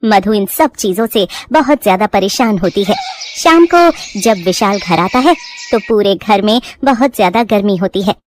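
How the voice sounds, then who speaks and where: A woman's voice: medium pitch, lively intonation, moderate pace, a little hiss or hum. A woman reading a scripted bedtime moral story as narrator.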